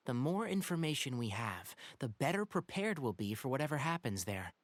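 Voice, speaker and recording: A man's voice: medium pitch, very animated, normal speed, no echo, close to an everyday voice. An actor playing a level-headed young male forest watcher in a video game, light and boyish, speaking politely and precisely. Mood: decisive.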